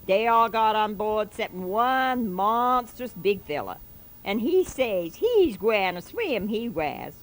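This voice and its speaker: A woman's voice: medium pitch, very animated, takes it slowly. Woman doing a fervent old-time male preacher, drawling dialect, exhorting, repetitive asides, comic sermon recitation. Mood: preaching.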